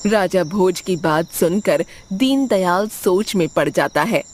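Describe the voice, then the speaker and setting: A woman's voice: lowish voice, slow, a little mellow, a little hiss or hum. A woman narrating a scripted moral story for a cartoon as its storyteller.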